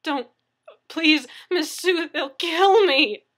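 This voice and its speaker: A woman voicing a frightened, crying man in an audiobook story, breathless, halting and pleading. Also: fairly high pitch, pushed and bright, breathy edge, heavily acted. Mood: panicked pleading. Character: terrified, sobbing man pleading after an assault.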